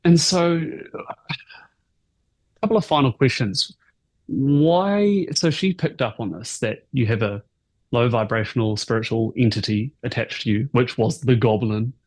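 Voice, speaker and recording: Male podcast host, husky voice, casual and curious. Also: mid-range voice, a little slow, a little mellow. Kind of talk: interview questions.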